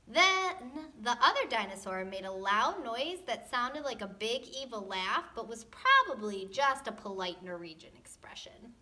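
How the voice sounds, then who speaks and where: A woman reading a storybook aloud to children in an expressive, playful, tongue-in-cheek way. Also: fairly high pitch, unhurried, few pauses.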